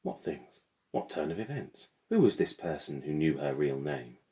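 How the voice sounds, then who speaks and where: A man's voice: extremely low pitch, big pitch swings, normal speed, warm tone. A man voicing a defiant, anxious young schoolgirl with a grim, questioning manner in a storybook. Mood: alarmed.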